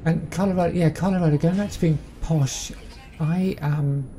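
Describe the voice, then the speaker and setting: A woman's voice: sits low, moderate pace, warm tone, faint music behind. A woman with a Southern English accent chatting casually as she plays a game, rambling with plenty of ums. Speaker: game streamer. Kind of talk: casual let's-play commentary.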